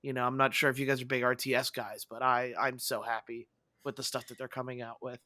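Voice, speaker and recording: A man hosts a gaming podcast with a soft, breathy voice that is hoarse after losing it. His tone is bright, and he rambles eagerly with plenty of fillers. The sound is dry and close-miked. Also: medium pitch, level tone, fast, dry recording. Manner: rambling, enthusiastic, many fillers. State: hoarse, voice partly lost. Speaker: podcast host. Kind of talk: casual podcast chat.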